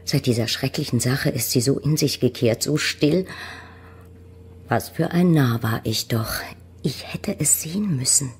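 Very husky, rueful mother. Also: extremely low pitch, measured pace, a little mellow, full character voice. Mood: rueful, bitter. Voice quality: very husky, creaky. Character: regretful, proper older mother of a married daughter. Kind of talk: German radio drama acting.

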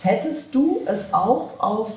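A middle-aged woman interviewing an author, asking measured, academic questions. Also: fairly high pitch, very animated, distant mic. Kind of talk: literary interview. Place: bright room with tall windows. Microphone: far camera mic. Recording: roomy, from afar.

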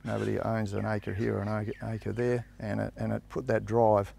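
Gravelly, flat-voiced Australian farmer. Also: male voice, lowish voice, a little hiss or hum. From South Australia. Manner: halting, unhurried, frequent fillers. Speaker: grain grower. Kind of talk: documentary interview answers. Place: outdoors on a farm by a ute.

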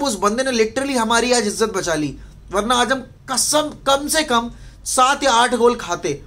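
A man's voice: very high voice, a bit bright. A man in his twenties with an Indian accent, speaking bluntly and emphatically in an exasperated, casual fan rant, recorded in a small bedroom. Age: 20s. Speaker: football fan vlogger. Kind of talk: post-match fan rant, casual Hindi-English mix.

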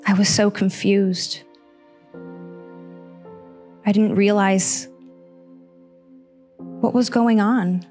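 A woman in her thirties from Costa Rica, telling a personal story on stage with slow, earnest pauses into a handheld mic. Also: medium pitch, fairly even intonation, a little mellow, faint music behind. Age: 30s. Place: small stage in front of seated audience.

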